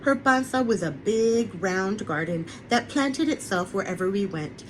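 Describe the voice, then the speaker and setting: A woman's voice: medium pitch, lively intonation, a little slow, slight background noise, low-quality recording. A woman who is an author, reading her children's picture book aloud on an online webinar recording. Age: adult, parent of a young son.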